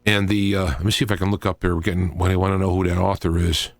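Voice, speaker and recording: A middle-aged man hosting an informal solo talk radio show, speaking in a halting, digressive way as he thinks aloud. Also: deep voice, level tone.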